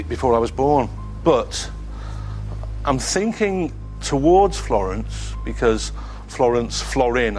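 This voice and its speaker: A man in his thirties, a quiz contestant, reasoning aloud in a rambling, jokey way. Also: medium pitch. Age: 30s. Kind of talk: thinking aloud on a quiz show.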